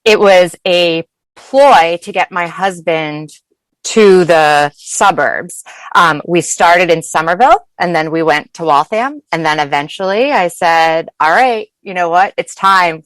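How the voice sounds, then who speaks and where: Low-pitched American woman, dry close sound. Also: no room sound, poor audio. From Massachusetts, United States. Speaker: podcast guest, food blogger. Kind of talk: casual chat.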